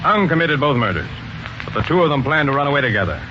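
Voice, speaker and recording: An actor playing a brusque, authoritative police sergeant in a 1940s radio mystery. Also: male voice, on the high side, big pitch swings, quick pace. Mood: explaining, assured. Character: stern, commanding male police sergeant investigating murders. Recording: muffled, noisy 1940s radio broadcast.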